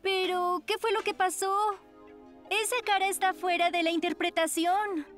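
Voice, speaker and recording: Cartoon best friend: earnest teen, very high voice, insistent delivery, soft music behind. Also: female voice, flat delivery, moderate pace. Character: teenage girl, earnest theatrical friend rehearsing a play.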